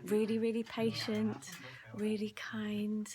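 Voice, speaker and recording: Female vlogger for casual behind-the-scenes narration; gentle, breathy delivery that often sinks into whispering, mid-range pitch. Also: completely flat delivery, slow pace, a crowd in the background. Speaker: ASMR vlogger and host.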